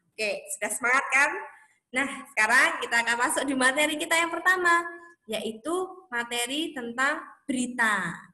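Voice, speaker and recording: A woman schoolteacher speaks in a fairly high voice in a roomy, echoing space. She talks to children in a chatty, encouraging way, explaining the lesson and addressing them directly. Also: bright, forceful tone. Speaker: school teacher. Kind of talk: recorded classroom lesson for children. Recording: echoey, roomy sound.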